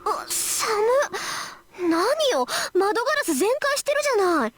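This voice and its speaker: A woman voicing a confident, sharp-witted teenage schoolgirl magus in a Japanese visual novel. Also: very high-pitched, big pitch swings, normal speed, a little hiss or hum, full character voice. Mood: indignant.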